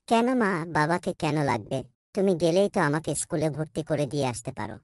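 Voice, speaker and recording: A woman's voice: deep voice, animated, moderate pace, a little mellow, dry recording, clean studio sound. A woman voicing an insistent young village boy in a cartoon, whining and pleading.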